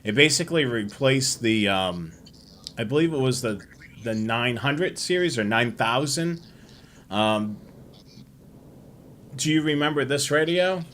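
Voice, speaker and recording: A middle-aged man hosting a live-streamed hobby show, chatting in a relaxed, rambling way with many fillers. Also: mid-range voice, a little slow, pauses often, a little hiss or hum. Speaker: host.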